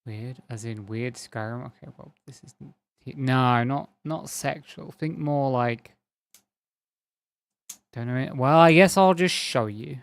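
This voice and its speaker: A man's voice: medium pitch, takes it slowly, vocal fry, dry recording. A man streaming a game, chatting casually and rambling to his viewers, his voice a little strained as if he is losing it. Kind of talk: casual live-stream chat while gaming.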